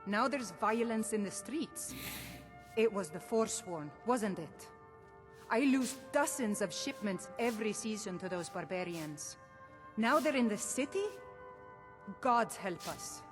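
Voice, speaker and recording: A woman's voice: mid-range voice, lively intonation, unhurried, natural voice. An actress voicing a fretful, plain-spoken widowed shopkeeper in a video game, with game music behind her. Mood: worried. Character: weary widowed shopkeeper woman, struggling.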